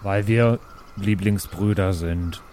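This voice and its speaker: Lowish-voiced, flippant male role-player. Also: fairly even intonation, takes it slowly, darkish tone, a little hiss or hum. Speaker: role-player playing a dwarf brother. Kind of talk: improvised in-character game chat.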